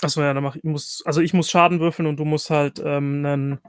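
Höher klingender Mann, laut denkender Rollenspieler. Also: male voice, a little high, flat delivery, brisk, clean tone, no room sound, studio quality. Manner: thinking aloud, mixing in English game terms. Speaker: tabletop game player. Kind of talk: casual game-table chat.

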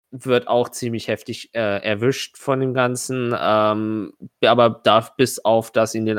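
A man with a fairly low voice acts as game master in a tabletop role-playing session. He narrates casually and searches for his words, in a very dry, close-sounding space. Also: smooth, no echo.

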